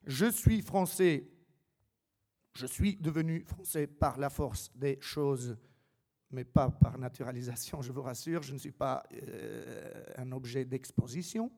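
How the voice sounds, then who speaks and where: A man's voice: mid-range voice, measured pace, creaky, mellow. A man from Albania giving a semi-improvised public talk with dry, ironic humour and rhetorical emphasis. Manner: rhetorical questions and emphatic repetition. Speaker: invited speaker. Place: reverberant hall. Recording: long hall reverberation.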